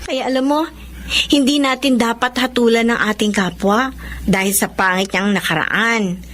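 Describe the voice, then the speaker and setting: A woman's voice: a little high, fairly even intonation, moderate pace, fairly bright tone, lightly acted. A woman playing a kindly, moralizing young woman in a radio drama, speaking earnestly. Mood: earnest, approving. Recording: faint noise, background ambience.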